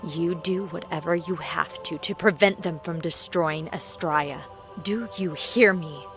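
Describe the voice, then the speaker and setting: A woman's voice: low-pitched, a little slow. An actress playing a tough, defiant, hot-tempered military agent in a video game, blunt and sardonic in her delivery. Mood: bitter, determined. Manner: blunt, challenging, dramatic. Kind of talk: video game cutscene acting. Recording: music under the voice.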